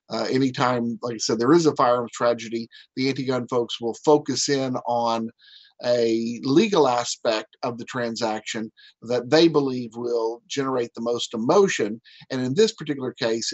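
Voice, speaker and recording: A man, an attorney guest, explaining legislation on a radio talk show in a measured way with frequent fillers. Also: medium pitch, dry recording, low-quality recording. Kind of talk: legal commentary on radio.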